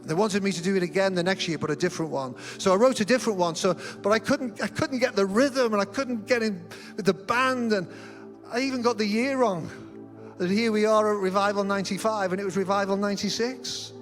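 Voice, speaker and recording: A man's voice: sits high, brisk, soft background music. A middle-aged man preaching a sermon as senior pastor in a church, telling humorous, self-deprecating stories. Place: church auditorium.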